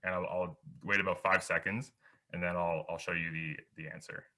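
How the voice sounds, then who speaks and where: A man's voice: sits low, flat delivery, moderate pace, pushed and bright. A young man giving a beginner programming workshop over slides, explaining step by step with small restarts. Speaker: workshop instructor.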